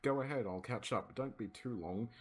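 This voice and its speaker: A man's voice: mid-range voice, moderate pace. An Australian man doing an informal read-aloud of a script as an amateur narrator, voicing the dialogue himself.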